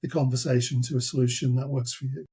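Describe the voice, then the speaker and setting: Guy interviewing, kinda rambly questions, husky warm voice. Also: mid-range voice, level tone. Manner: rambling, builds questions slowly with kind of and you know.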